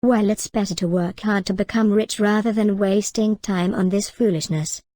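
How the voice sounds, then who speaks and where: A woman's voice: mid-range voice, steady tone, normal speed, mellow, dry recording, studio quality, close to an everyday voice. A woman playing a worried, practical farmer's wife who pleads and reproves in a cartoon moral story.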